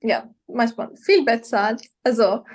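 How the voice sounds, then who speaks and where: A young woman from Iran, speaking German she learned later, telling her story casually as an interview guest and often pausing to search for words. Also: fairly high pitch. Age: young adult. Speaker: guest, professional model.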